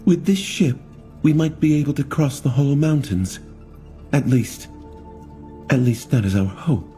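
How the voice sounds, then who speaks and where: A man's voice: medium pitch, a little slow, warm tone, faint music behind, pitched above the performer's own voice, close to an everyday voice. A man voicing an earnest blind young woman who stumbles over her words when flustered.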